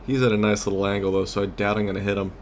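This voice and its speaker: Male game commentator, fairly low voice, conversational and unscripted, recorded with clear background noise. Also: flat delivery, rapid-fire. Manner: conversational, thinking aloud. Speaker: let's play host. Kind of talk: casual gameplay commentary.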